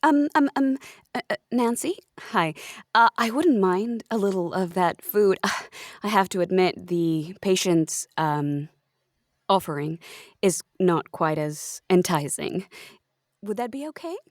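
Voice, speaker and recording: A woman's voice: mid-range voice, lively intonation, unhurried, no room sound, clean studio sound, close to an everyday voice. A woman playing a timid, indecisive, apologetic hospital patient in a comedic audio roleplay, hesitant, stammering and rambling. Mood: awkward, hopeful.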